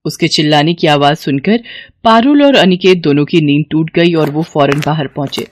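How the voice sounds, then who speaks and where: A woman's voice: sits low, moderate pace, a little hiss or hum. A woman reading scripted moral-story narration as the storyteller.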